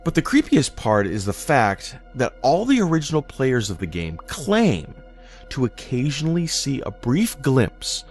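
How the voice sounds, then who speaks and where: A male storyteller narrates a scripted creepypasta with dramatic, deliberate delivery. His mid-pitched voice moves up and down expressively from phrase to phrase. Soft music plays behind him. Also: wildly swooping pitch. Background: background music.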